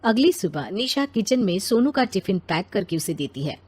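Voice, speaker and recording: A woman's voice: medium pitch, very animated, normal speed, a little mellow, breathy edge. A woman narrating a scripted children's moral story.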